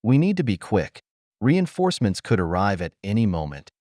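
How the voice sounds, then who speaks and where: A man's voice: lowish voice, very animated, moderate pace, a little mellow, no echo, clean studio sound. A man voicing a wary male reptilian alien commander, speaking urgently in a science fiction audiobook.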